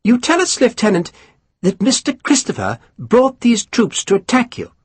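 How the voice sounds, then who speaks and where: A man's voice: very high voice, huge pitch swings, moderate pace, husky, no room sound, voice pushed up, heavily acted. A man voicing a foppish, sly English lord who speaks with exaggerated civility and relishes gossip. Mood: arch. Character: foppish high-voiced English lordship, sly and amused.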